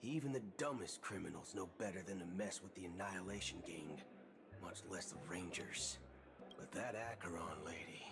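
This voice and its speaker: An actor playing a shrewd, talkative space ranger in a video game, with a folksy, wry, conversational manner. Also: male voice, low voice. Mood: serious, thoughtful. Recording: loud music behind.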